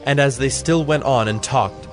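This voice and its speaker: A man's voice: mid-range voice, moderate pace. A man narrating scripture from a script in a solemn storytelling manner. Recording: background music under the voice.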